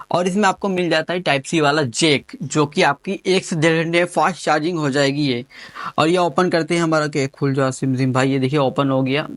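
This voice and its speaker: Higher-pitched man doing casual unboxing. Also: dry recording. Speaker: tech gadget reviewer.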